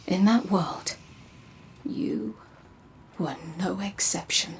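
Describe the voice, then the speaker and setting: A woman playing a grave, cryptic seer in a video game, hushed and deliberate. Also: medium pitch, gravelly, a little hiss or hum. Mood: grave, foreboding. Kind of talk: dramatic video game narration.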